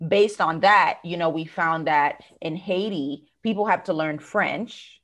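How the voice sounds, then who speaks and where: Low-voiced woman business owner. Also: measured pace. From United States. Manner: thoughtful, with frequent fillers and restarts. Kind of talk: conversational interview.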